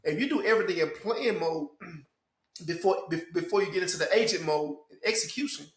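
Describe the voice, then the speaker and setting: A man's voice: high voice, moderate pace, roomy sound. A man from Memphis hosting a casual tech podcast chat, stumbling and repeating words as he explains. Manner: repeats words and restarts often. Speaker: podcast host.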